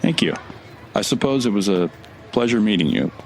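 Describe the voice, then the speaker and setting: A man's voice: fairly low pitch, lively intonation, moderate pace, some chatter behind, a little hiss or hum. An actor playing a blunt, sardonic male traveler in an audio drama, talking in a casual way. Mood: wry, resigned.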